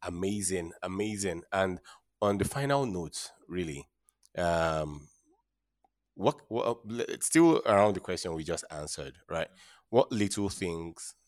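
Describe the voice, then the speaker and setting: A man with a very low voice hosts an interview podcast. He asks his questions in a conversational style. The sound is dry, with hardly any room around it. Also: lively intonation, measured pace, no echo. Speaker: podcast host.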